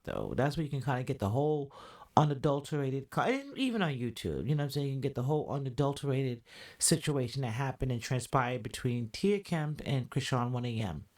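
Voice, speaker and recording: A woman with a deep voice hosting a podcast, giving casual reaction commentary, chatty and hedging her opinions. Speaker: podcast host.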